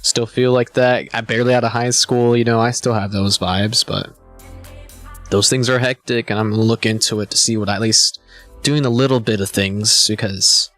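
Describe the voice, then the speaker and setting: A man's voice: lowish voice, steady tone, normal speed, a bit bright, soft background music. A man in his twenties chatting casually to his live stream viewers, a bit hungover, rambling and laid-back with lots of "man" and "you know.". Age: 20s. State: a little hungover.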